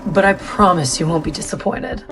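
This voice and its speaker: A woman playing a composed, officious official at a secretive installation in an audio drama, formal and measured. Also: mid-range voice, animated, gravelly, music in the background. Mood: composed, businesslike.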